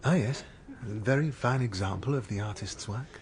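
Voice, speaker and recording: An actor playing a well-to-do gentleman in a radio drama, with formal phrasing. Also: fairly low pitch, normal speed, natural voice. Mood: polite, condescending.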